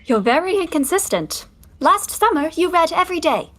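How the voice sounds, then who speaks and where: An actress playing an impatient, prim young sister in a 1920s stage comedy, huffy and scolding with clipped retorts. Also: female voice, very high voice, sing-song, normal speed. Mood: defensive, irritated. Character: exasperated, prim, impatient sister of a carefree bather.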